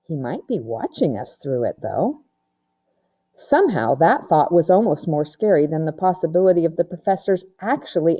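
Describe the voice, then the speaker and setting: A woman's voice: lowish voice, warm tone. A woman narrating a children's novel aloud, like a teacher reading to pupils.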